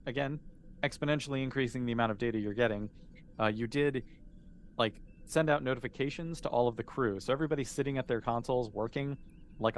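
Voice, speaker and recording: Halting man running a tabletop game. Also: mid-range voice. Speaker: game master. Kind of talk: improvised game narration.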